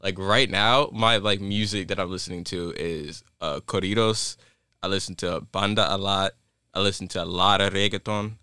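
A man's voice: deep voice, fairly even intonation, moderate pace, sharp tone, smooth, no echo, studio quality. A man co-hosting a podcast in loose, rambling casual chat, full of "like" fillers. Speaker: podcast co-host.

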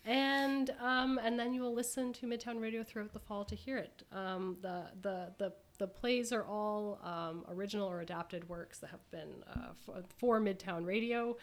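A woman hosting a conversational interview, speaking haltingly with many ums. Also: mid-range voice. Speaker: radio host.

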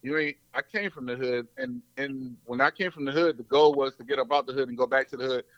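A man from Mississippi and New Orleans, a rapper talking loudly and casually in slang. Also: medium pitch, fast, no echo. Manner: loud, slangy, laid-back. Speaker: rapper guest. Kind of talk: casual interview chat. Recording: dull lo-fi dry sound.

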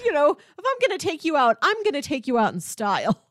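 A woman co-hosting a podcast, chatting casually about film in an animated way and playfully acting out lines. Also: sits high, fast talker, no echo, clean studio sound. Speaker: podcast co-host.

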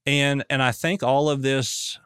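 A man coaching a guest in conversation, measured and probing, repeating his questions. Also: medium pitch, little pitch movement, a bit bright, clear, resonant tone, dry recording, clean studio sound.

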